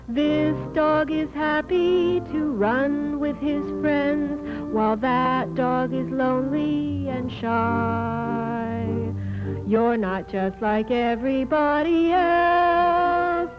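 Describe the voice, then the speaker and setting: A woman's voice: sits high, low-quality recording. A woman delivering lyrical, sing-song narration for a vintage educational film, with music behind her. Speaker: narrator and singer.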